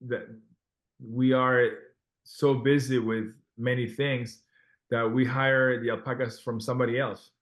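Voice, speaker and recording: A man speaks in a middle pitch, earnest and unhurried. He often restarts his sentences and leans on fillers as he tells his story to an interviewer. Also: flat delivery. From Peru. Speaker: guest, restaurant owner.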